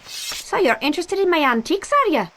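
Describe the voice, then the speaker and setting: An actress playing a stubborn, tart antique collector in a video game, brisk and indignant. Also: female voice, very high voice, very animated, fairly bright tone, a little hiss or hum, heavily acted. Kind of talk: video game cutscene acting.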